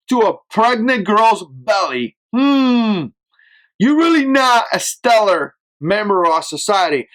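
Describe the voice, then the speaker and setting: A man with a fairly high voice and a non-native accent delivers a heated opinion piece to his audience. He hammers each point and keeps checking in with "right?". His tone is blunt and conversational rather than polished. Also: fairly bright tone. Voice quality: speaks with an accent that is not standard American. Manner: emphatic, with frequent tag questions of "right?". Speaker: channel host. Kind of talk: opinion commentary to camera.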